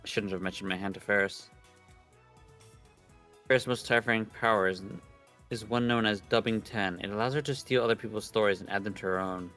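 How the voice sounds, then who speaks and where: A man's voice: lowish voice, fairly even intonation, fairly quick, a bit bright, faint music behind, lightly acted. A man voicing a grandiose, pompous young self-styled mad scientist from a visual novel. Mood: smug. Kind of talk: reading visual novel dialogue aloud.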